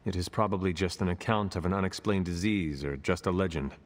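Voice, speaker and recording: An actor playing a stoic legendary warrior in a video game, speaking in a stiff, formal manner. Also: male voice, deep voice, fairly quick, natural voice. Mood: measured, inquisitive. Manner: stiff, formal, avoids contractions. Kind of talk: video game cutscene acting.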